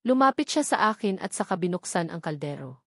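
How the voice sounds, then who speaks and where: A woman's voice: lowish voice, big pitch swings, brisk, fairly bright tone, husky, no echo, clean studio sound. A woman reading a scripted romance novel aloud as a first-person narrator. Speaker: audiobook narrator.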